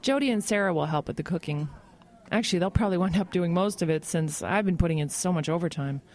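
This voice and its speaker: An actress playing a friendly, overworked small-town office worker in an audio drama, talking in a hesitant, hedging, conversational way. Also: female voice, fairly low pitch, fast, darkish tone, close to an everyday voice. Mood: chatty.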